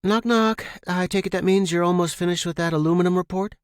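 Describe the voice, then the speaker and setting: A man's voice: high voice, steady tone, quick pace, clean tone, no echo, studio quality, voice pushed up, natural voice. A man voicing a demanding female office boss in a story, curt and businesslike. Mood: brisk, demanding. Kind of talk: narrated story character dialogue.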